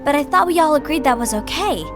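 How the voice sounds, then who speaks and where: A woman's voice: high voice, expressive, fast, music in the background. An actress playing an earnest, spirited young female apprentice in a fantasy video game cutscene, speaking in an earnest, protective way.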